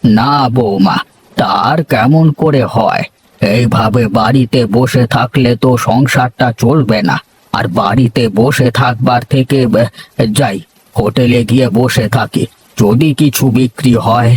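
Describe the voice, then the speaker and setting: A man voicing a worried older village father in a cartoon, with a slightly husky voice and a fretful, fatherly way of talking. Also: medium pitch, a little slow, a little hiss or hum, lightly acted. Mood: resigned. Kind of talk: cartoon voice acting.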